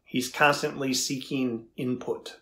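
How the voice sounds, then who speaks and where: Casting: middle-aged male researcher acted by a man, thoughtful and inquisitive, creaky husky voice. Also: mid-range voice, steady tone, fairly bright tone. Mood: explaining. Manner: deliberate, inquisitive. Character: thoughtful, curious male AI researcher interviewing a machine. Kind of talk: dramatized transcript reading. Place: indoors before a studio backdrop.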